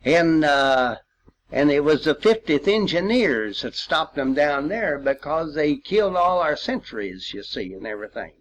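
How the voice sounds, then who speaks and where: Elderly male veteran. Unhurried, rambling, folksy storyteller with very lively intonation. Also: a little high. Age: 80s or older. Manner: folksy, rambling storytelling with old-time expressions. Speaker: World War II veteran sharing memories. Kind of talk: informal spoken reminiscence.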